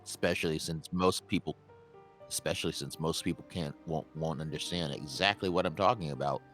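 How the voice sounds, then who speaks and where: A man's voice: fairly quick, a bit bright, faint music behind. A man co-hosting a livestream, chatting casually with self-deprecating humor, and relaying a guest's words as he rambles along. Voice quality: very deep bass voice. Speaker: stream co-host relaying a guest.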